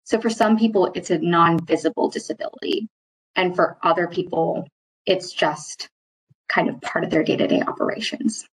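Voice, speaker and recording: A woman with a slightly low, husky voice answers interview questions reflectively. She phrases things in a thoughtful, halting way, rephrasing as she goes, with a mild stutter that surfaces only occasionally. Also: low-quality recording. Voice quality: mild, mostly covert stutter with occasional repeated starts. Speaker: interview guest, employee speaking on stuttering.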